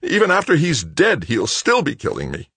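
A man's voice: on the low side, sing-song, normal speed, breathy edge, dry recording, pitched above the performer's own voice, full character voice. A man voicing a volatile, bitter adult son in an audiobook, with a snarling, ranting delivery. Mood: enraged, anguished. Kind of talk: audiobook character acting.

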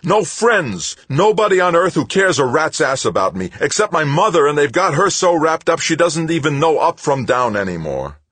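A man voicing a furious, desperate young man who roars and shouts. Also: a little high, very animated, normal speed, few pauses, husky, dry recording, voice pushed up, heavily acted. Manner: roaring, shouting.

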